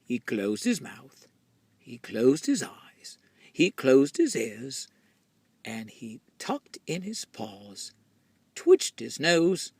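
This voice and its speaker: A man reading a picture book expressively to children, breathy and often near a whisper, with drawn-out, dramatic delivery. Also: medium pitch, sing-song, a little mellow, no room sound. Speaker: children's story reader.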